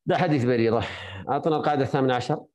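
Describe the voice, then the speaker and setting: A man teaching a jurisprudence lesson online, briskly quizzing and correcting his students. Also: mid-range voice, a little mellow.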